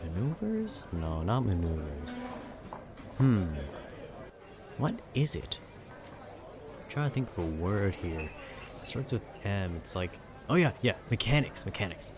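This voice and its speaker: A man casually rambling about a video game with nerdy enthusiasm, often halting to search for words. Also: lowish voice, big pitch swings, noisy background. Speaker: voice actor making a rambling ambience video.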